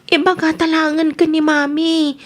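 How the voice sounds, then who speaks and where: A woman's voice: high voice, level tone, normal speed, close to an everyday voice. A woman acting a worried, pleading younger sister in an Ilocano audio drama, with an Ilocano accent. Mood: anxious, pleading.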